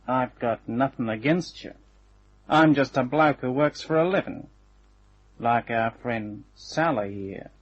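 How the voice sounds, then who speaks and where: A husky actor's take on a smug hired thug from a radio crime play. He takes his time over every slangy threat, menacing and taunting. The recording is dull and narrow, like old radio. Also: mid-range voice, unhurried, natural voice.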